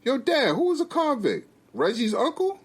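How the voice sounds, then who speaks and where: A man's voice: lowish voice, very animated, unhurried. A man voicing a candid son who talks frankly to his parents in casual slang. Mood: curious.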